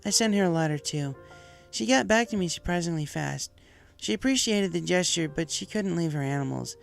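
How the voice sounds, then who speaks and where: A man's voice: a little high, animated, fairly quick, creaky, soft background music, voice pushed up. A man voicing a brash, earnest young female pegasus pony in a story reading, casual and heartfelt.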